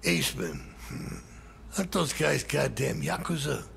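A man's voice: medium pitch, sing-song, unhurried, vocal fry, a bit bright, a little hiss or hum, heavily acted. A man playing a middle-aged, hot-tempered, foul-mouthed crime boss in a video game, exasperated and profane. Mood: suspicious, annoyed. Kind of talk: cinematic game dialogue.

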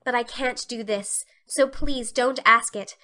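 A woman's voice: on the high side, fairly even intonation, a little slow, bright, forceful tone. A woman voicing a proud, stubborn young schoolgirl with an earnest, melodramatic manner in an audiobook. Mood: tragic sorrow. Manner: earnest, dramatic. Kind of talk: audiobook character dialogue.

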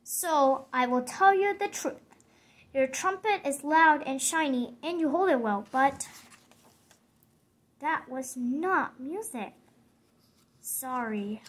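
A woman voicing a gentle, polite elephant in a storybook, speaking haltingly and carefully. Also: high voice, lively intonation, pitched below the performer's own voice. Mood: hesitant, tactful. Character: hesitant, polite elephant, gentle honest friend.

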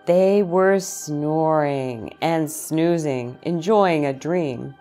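A middle-aged woman reading a picture book aloud to young children, expressively, with dramatic phrasing and pauses. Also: low-pitched, warm tone, faint music behind.

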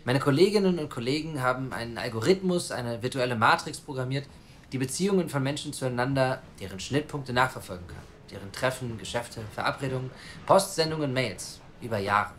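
An earnest, self-assured male journalist in an audio drama, played by an actor. He argues insistently and articulately in a mid-pitched voice, with faint ambience behind him. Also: animated, brisk, a bit bright, slight background noise, lightly acted. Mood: confident, persuasive. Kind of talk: naturalistic audio drama acting.

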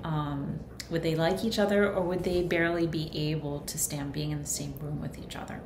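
A middle-aged woman with a deep voice talks about her book as its author. The recording is roomy, with clear noise behind her in a kitchen. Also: fairly even intonation, normal speed. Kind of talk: book introduction to camera. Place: home kitchen.

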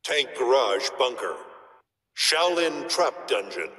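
An actor playing a grave, commanding thunder god as a fighting game announcer, speaking in a deliberate, dramatic way. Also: male voice, mid-range voice, very animated, sharp tone, full character voice. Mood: solemn, imposing. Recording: echoey, long reverb.

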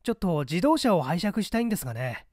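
Man voicing a coaxing, high-pitched young son. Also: very animated, fast talker, a little mellow, no echo, studio quality. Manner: polite, coaxing. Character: earnest, cheerful young son asking his father a favour.

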